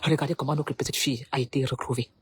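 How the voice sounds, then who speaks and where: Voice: mid-range voice, fairly even intonation, fast, fairly bright tone, a little hiss or hum. A speaker from Cameroon delivers a preachy, rhetorical political monologue to the public. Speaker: political commentator.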